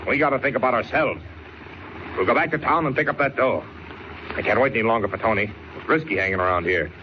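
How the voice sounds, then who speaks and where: An actor playing a gruff, impatient gangster boss in 1940s radio style, talking in tough street slang. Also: male voice, medium pitch, quick pace, close to an everyday voice. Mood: urgent, angry. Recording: muffled, hissy old radio recording.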